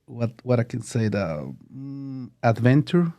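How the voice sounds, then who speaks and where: Halting Mexican-accented man, mellow voice. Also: medium pitch, very animated. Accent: Mexican Spanish. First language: Spanish. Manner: halting, searching for words in English. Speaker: guest. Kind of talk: casual interview talk.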